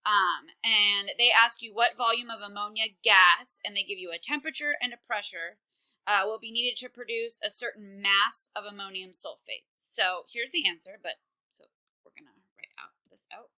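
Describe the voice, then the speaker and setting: A woman teaches chemistry in a recorded online class. She talks in a casual, chatty way with many fillers. Her voice is very bright, and she speaks close to a computer microphone. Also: medium pitch, moderate pace, rough recording.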